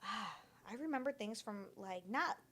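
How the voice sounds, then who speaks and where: Young woman, Portuguese first language, casual podcast chat, blunt and profane, middle pitch. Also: unhurried. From born in Florida, raised partly in Brazil. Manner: blunt, profane, animated storytelling. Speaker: podcast co-host.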